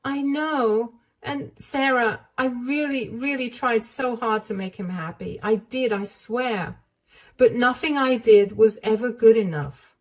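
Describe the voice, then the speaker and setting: A woman's voice: fairly high pitch, measured pace, pitched above the performer's own voice. A woman voicing a frightened, tearful young wife who pleads in a shaky voice. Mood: pleading.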